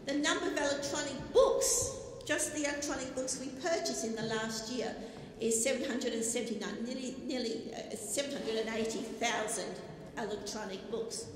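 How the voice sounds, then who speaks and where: Woman, squeaky voice, conference talk, enthusiastic, big reverberant hall, light hiss. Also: normal speed. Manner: self-deprecating, enthusiastic, with frequent ums. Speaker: conference speaker, business librarian. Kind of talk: conference presentation. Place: large lecture hall. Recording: echoey hall, faint noise.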